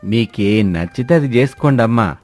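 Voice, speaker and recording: A man's voice: mid-range voice, lively intonation, moderate pace, darkish tone, close to an everyday voice. A man voicing an exasperated, grumbling father-in-law in a cartoon family story. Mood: resigned, teasing. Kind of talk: animated moral-story voice acting.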